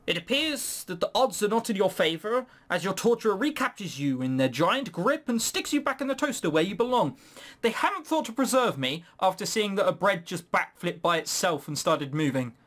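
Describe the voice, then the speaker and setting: Animated man doing sarcastic game commentary. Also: sits high, normal speed. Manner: sarcastic, swearing. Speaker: let's play host. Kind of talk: casual gaming commentary, reading game text aloud.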